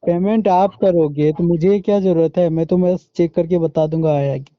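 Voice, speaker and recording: A man's voice: on the high side, steady tone, normal speed. An Indian man on a muffled recorded phone call, chatting informally and pushing back with questions. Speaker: online seller on a phone call. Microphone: phone line.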